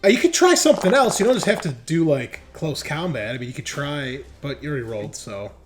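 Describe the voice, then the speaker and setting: Guy game mastering a tabletop rpg, voice a bit high, casual descriptive narrating, faint music behind. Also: very animated, fast talker. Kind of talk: improvised game narration and rules calls.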